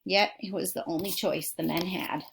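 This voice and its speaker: A woman's voice: lowish voice, fairly even intonation, moderate pace, gravelly, slight background noise, poor audio. A woman reading a children's nonfiction story aloud like a teacher, with dramatic emphasis and direct asides to her listeners. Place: at home.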